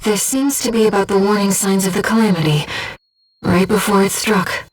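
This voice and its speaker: A woman voicing a young, gentle, bodiless female spirit in a video game, soft-spoken and precise as she guides a mercenary through missions. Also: mid-range voice, fairly even intonation, normal speed, slightly rough, distant mic, close to an everyday voice, low-quality recording. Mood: composed, focused. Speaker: mission operator companion. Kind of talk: video game voice acting, tactical radio guidance.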